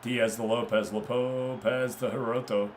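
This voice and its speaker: A man's voice: mid-range voice, level tone, a little slow, background noise. A man doing live, informal play-by-play of a football game, chatting casually with viewers between passes. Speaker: streamer commentating a football management game.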